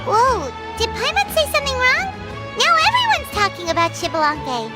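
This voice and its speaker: An extremely high, squeaky little fairy companion from a video game. The talk is excitable and dramatic, leaping up and down in pitch. Loud game music plays underneath. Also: female voice, moderate pace, heavily acted. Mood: curious, chatty. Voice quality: squeaky childlike voice. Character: tiny excitable floating fairy-like child companion, talkative.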